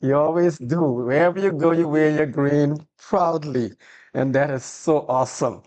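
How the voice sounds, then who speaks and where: A talk-show host, speaking at a medium pitch. The host sounds earnest, opening with a heartfelt prayer and warmly affirming the guest between questions. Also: normal speed.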